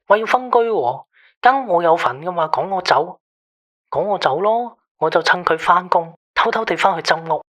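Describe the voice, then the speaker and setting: A man's voice: fairly high pitch, fairly bright tone. A man playing an obsessive, self-pitying husband. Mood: agitated, pleading. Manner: fixated, repetitive rambling. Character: obsessive, controlling husband, agitated and self-pitying late-night caller. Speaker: radio drama actor. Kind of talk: Cantonese radio drama acting.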